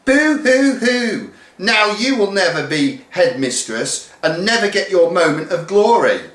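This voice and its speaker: A man's voice: high voice, sing-song, a little slow, a bit bright, voice pushed up, exaggerated voice. A man voicing a defiant woman teacher in a storybook, sneering and taunting. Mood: mocking. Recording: roomy echo.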